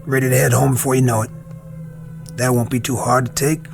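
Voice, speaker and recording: An actor playing a weary army lieutenant at a wartime hospital, halting and reassuring over hidden dread, in a radio drama. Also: male voice, mid-range voice, level tone, gravelly, close to an everyday voice. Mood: forced calm. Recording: dramatic music behind the voice.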